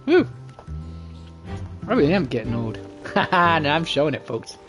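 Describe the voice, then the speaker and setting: Female game streamer, low voice, casual rambling commentary, lively intonation, game music behind. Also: moderate pace. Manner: rambling, frequent throat-clearing. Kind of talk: casual live gameplay commentary.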